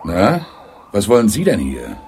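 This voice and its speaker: Casting: big rough ex-safecracker, dismissive and menacing, lively pitch swings, radio play with some noise. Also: male voice, unhurried, heavily acted. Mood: hostile, annoyed. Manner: curt, dismissive, threatening. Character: huge gruff male ex-safecracker turned bar owner, hostile. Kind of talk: 1980s German radio play acting.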